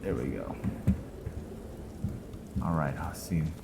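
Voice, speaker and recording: A man's voice: a bass voice, slow, vocal fry, very noisy. A man giving casual roleplay game commentary, muttering and thinking aloud as he goes. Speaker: gameplay host. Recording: loud background music. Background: loud music.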